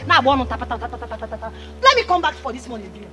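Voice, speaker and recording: A woman's voice: on the high side, very animated, very fast, music in the background. A woman playing a proud, wronged mother in a film drama, with a Nigerian accent and an insistent, repetitive manner. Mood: threatening.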